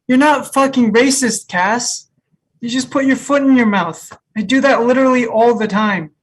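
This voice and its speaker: A woman acting an easygoing, frank, teasing co-op employee in a comedy table read, with a deadpan, measured delivery. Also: a little high, slightly rough, poor audio. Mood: frank, reassuring. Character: easygoing, frank, teasing new co-op hire Noah.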